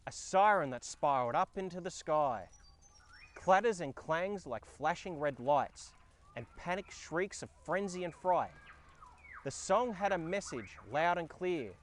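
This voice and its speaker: An Australian man narrating a children's storybook in a dramatic, expressive way. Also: on the high side, measured pace. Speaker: storyteller and host. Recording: background ambience and effects noise. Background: sound effects and ambience.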